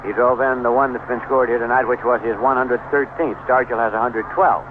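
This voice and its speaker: A man calling baseball play-by-play on the radio in measured, descriptive short phrases. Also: medium pitch, fairly even intonation. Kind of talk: live radio sports commentary. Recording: noisy, tinny vintage 1970s AM radio broadcast.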